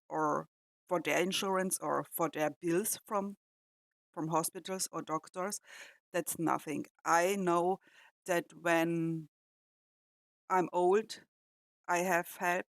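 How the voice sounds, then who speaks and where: A woman from Austria with an Austrian German accent and German as her first language, talking haltingly as a reaction host and pausing to search for words. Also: fairly low pitch, gravelly, no room sound. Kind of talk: casual reflective commentary.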